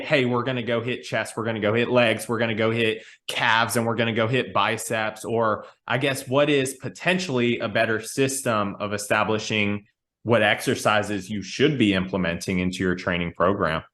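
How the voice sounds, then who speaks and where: A man hosts a relaxed podcast in an ordinary mid-range voice. He thinks out loud in a reflective, meandering way, telling stories as he works toward his point. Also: medium pitch. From small town. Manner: rambling, reflective storytelling. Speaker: podcast host. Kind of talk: casual podcast conversation.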